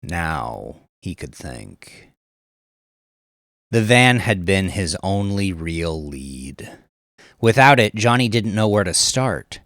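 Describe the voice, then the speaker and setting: A man narrating crime fiction in a measured, dramatic storytelling manner. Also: low-pitched, very animated, a bit bright, dry recording, clean studio sound. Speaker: audiobook narrator.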